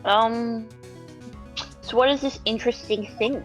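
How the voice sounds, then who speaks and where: A woman plays an anxious little girl sidekick from a video game. She speaks slowly and hesitantly in a high voice, sweet and childlike, over faint music. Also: steady tone, lightly acted, low-quality recording. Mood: curious. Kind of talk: video game dub acting.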